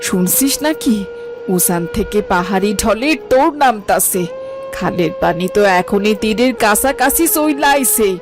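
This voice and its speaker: A woman's voice: mid-range voice, lively intonation, a little slow, over music, natural voice. A woman playing a watchful village auntie in a story, urgent and practical, with a rural Bangladeshi accent. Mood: urgent, warning. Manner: urgent, calling out. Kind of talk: dramatised Bengali story acting.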